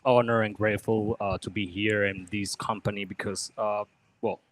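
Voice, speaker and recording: A man from Venezuela being interviewed about drinks at a restaurant bar, pausing often to find his words. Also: on the low side, level tone, dry recording. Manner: halting, searches for words, polite. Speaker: bartender. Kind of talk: casual interview.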